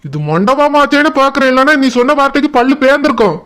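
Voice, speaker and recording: A high-pitched, jealous young man from a village cartoon, acted by a man. He is bossy and threatening, scolding in a Kanyakumari Tamil accent as if everyone were his servant. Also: expressive, quick pace, sharp tone, exaggerated voice. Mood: suspicious, scheming. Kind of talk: village cartoon drama acting.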